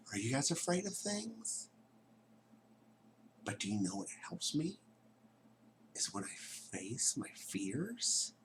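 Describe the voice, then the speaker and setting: Very animated man reading to children. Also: medium pitch, noisy background. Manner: theatrical, dropping into hushed tones for emphasis. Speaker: storyteller reading a picture book aloud. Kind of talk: animated children's read-aloud with chatty asides to listeners.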